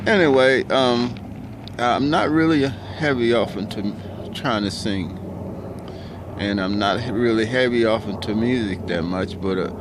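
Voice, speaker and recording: A middle-aged man with a baritone voice, talking in a casual, rambling monologue full of ums and restarts. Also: a little slow. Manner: hesitant, many ums and restarts, loose and conversational. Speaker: vlogger. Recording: clear outdoor traffic noise. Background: cars and street noise.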